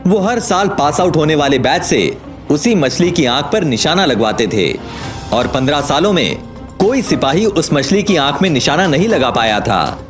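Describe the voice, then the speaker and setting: A man's voice: on the high side, very animated, moderate pace, soft background music. A man reading a scripted audio story as its narrator, dramatic, suspenseful and emphatic.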